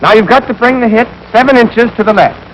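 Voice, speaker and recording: A man's voice: extremely high pitch, quick pace. A young American man giving scripted, clipped, step-by-step marksmanship instruction as an army instructor in a 1940s training film. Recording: noisy 1940s film soundtrack.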